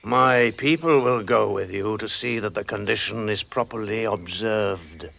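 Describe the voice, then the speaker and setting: A low, gravelly lion king from a fantasy drama. He speaks slowly, with solemn calm and measured authority. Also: heavily acted. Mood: calm command.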